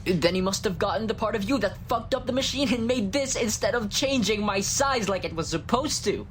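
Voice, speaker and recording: A man's voice: high voice, expressive, fairly quick, fairly bright tone, a little hiss or hum. A male voice actor playing an exasperated, sarcastic scientist in an ASMR audio roleplay, whiny and weary. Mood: agitated.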